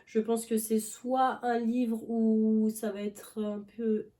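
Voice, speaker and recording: A woman's voice: a little high, level tone, slow, dark tone. A young woman vlogging about books in a loose, rambling chat, full of fillers and restarts, with a cough now and then. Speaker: book vlogger. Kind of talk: casual chat.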